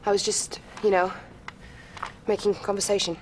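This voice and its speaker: An actress playing a young female military officer giving brisk briefings. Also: mid-range voice, flat delivery, slight background noise, natural voice, low-quality recording.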